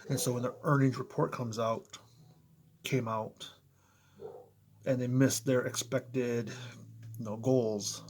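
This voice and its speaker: A man in his apartment, speaking close to the camera, his voice a bit hoarse, chatting casually with viewers and often asking "right?". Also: mid-range voice, fairly even intonation, takes it slowly, long pauses, a little mellow. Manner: conversational, talks straight to viewers, frequent "you know" and "right?". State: slightly hoarse. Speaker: motivational vlogger. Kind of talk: casual explainer vlog. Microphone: close to camera, in front of computer.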